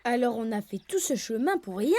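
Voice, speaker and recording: A woman playing an earnest, eager young male animal cub in a children's cartoon, with expressive delivery. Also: fairly high pitch, fairly quick. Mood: concerned. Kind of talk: French children's cartoon dub. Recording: music under the voice.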